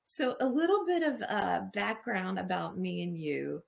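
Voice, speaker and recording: A woman's voice: lowish voice, big pitch swings, a little slow, gravelly. A woman hosting a casual interview show, enthusiastic and affirming toward her guest. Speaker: podcast host.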